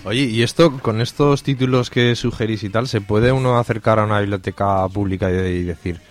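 Low-pitched casual man from Spain. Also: fairly quick. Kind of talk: casual chat.

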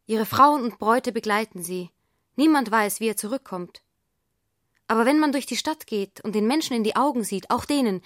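An actress playing an idealistic, headstrong young daughter who wants to be a nurse, speaking earnestly and insistently in a radio drama. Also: female voice, on the high side, no room sound, clean studio sound, natural voice. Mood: solemn enthusiasm. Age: young adult. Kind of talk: German radio drama acting.

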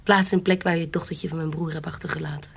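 A husky, shrewd adventuress. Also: female voice, low-pitched, fairly even intonation, fast, exaggerated voice. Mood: guarded, cool. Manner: guarded, cool, commanding. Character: shrewd, defiant adventuress, forger and courtesan posing as noble. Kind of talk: audio drama acting in Dutch period piece.

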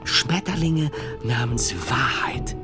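An actor as a solemn, slow-speaking medieval monk. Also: male voice, mid-range voice, lightly acted. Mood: awed, rapt. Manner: deliberate, solemn, rapt storytelling. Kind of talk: German radio drama acting, literary monologue. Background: music.

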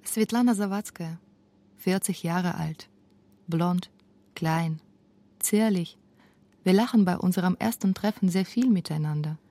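Mellow, reflective thirty-year-old woman from Belarus. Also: fairly low pitch, unhurried, long pauses. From Minsk, Belarus. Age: 30s. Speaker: feature author and narrator. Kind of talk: scripted first-person documentary narration.

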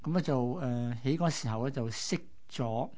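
A man's voice: medium pitch, moderate pace, warm tone. A man with a Hong Kong accent, a co-host telling stories conversationally in short, colloquial explanatory phrases. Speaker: radio co-host. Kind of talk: conversational storytelling.

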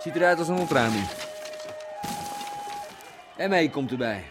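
A man's voice: on the high side, animated, a little slow, music in the background. An actor playing a reluctant, grumbling underworld businessman in a radio drama, wary and noncommittal. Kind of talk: naturalistic radio drama acting. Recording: clear background ambience noise.

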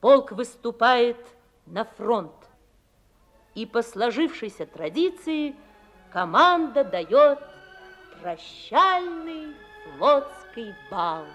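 A woman's voice: on the high side, big pitch swings, extremely slow, a bit bright, full character voice. A woman narrating a radio play in a lyrical, solemn manner. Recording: music behind.

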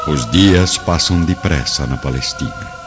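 A man's voice: very deep voice, expressive, a little slow. A man narrating a radio drama in a dramatic, scripted style. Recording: muffled radio drama under loud music.

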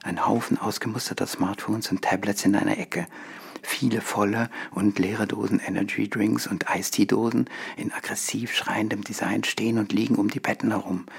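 A man reading scripted scene descriptions as a narrator, in a breathy, husky half-whisper and a deliberate, listing manner. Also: fairly low pitch, little pitch movement.

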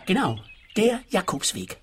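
An actor with a husky, high voice and a Swiss accent plays a fussy, shifty forest owner in a comic radio play. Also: male voice, sing-song, measured pace, fairly bright tone, full character voice. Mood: fussy, impatient. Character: fussy, shifty male forest owner, small-time schemer. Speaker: client character. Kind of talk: comic radio detective drama.

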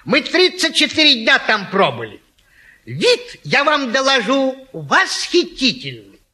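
A man's voice: very high voice, wildly swooping pitch, slow pace, pushed and bright, heavily acted. An actor playing a boastful, gallant retired naval lieutenant who tells tall tales in a stage comedy, hemming and savoring as he goes. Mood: dreamy, relishing. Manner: hesitant hemming, savoring storyteller. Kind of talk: classic stage comedy acting.

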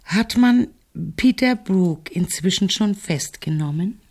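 An actress playing a shrewd, imperious, wealthy crime-writing aunt in a German radio drama, condescending and deliberate. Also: female voice, mid-range voice, expressive, a little mellow. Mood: smugly composed.

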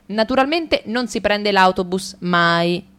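A woman gives a theatrical radio reading at an even, mid-range pitch. She moves through long lists briskly and lands on each item with ironic, playful emphasis. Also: smooth. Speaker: radio drama reader. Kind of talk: theatrical reading of a comic monologue.

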